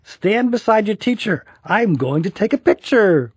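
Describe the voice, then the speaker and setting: A man's voice: high-pitched, sing-song, a little slow, husky, dry recording, clean studio sound, voice pushed up, heavily acted. A man voicing a fussy, apologetic mother in a storybook, over-eager and prone to stammering. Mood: fussing.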